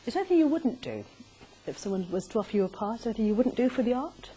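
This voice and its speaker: A woman's voice: a little high, big pitch swings, rapid-fire, mellow. A woman hosting a live on-stage interview, putting questions to her guest. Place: arts centre stage before an audience. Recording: faintly noisy live stage recording.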